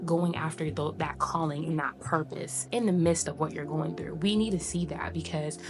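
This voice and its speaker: A young woman talks in a loose, rambling way, full of fillers and restarts. Her voice sits slightly low, and she turns earnest when she encourages others. Music plays clearly underneath her. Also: steady tone, normal speed. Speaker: vlogger. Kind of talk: casual chat while getting ready.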